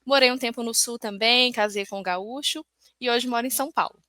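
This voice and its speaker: A friendly woman from Minas Gerais speaks with a Brazilian Portuguese accent, her voice bright and fairly high. She talks easily and leans on "né" and "então". The sound is dry and close, as on a call from home. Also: brisk, no room sound. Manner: friendly, chatty, frequent "né" and "então". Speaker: guest entrepreneur. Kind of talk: casual interview chat.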